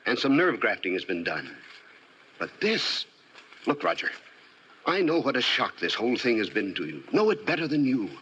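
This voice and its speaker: A man's voice: medium pitch, sing-song, moderate pace, bright, forceful tone, slight background noise. A man acting in an old-time radio drama, playing a worried, cautious doctor with a hesitant, earnest, uneasy manner. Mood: shocked, incredulous. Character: worried, cautious male doctor under duress.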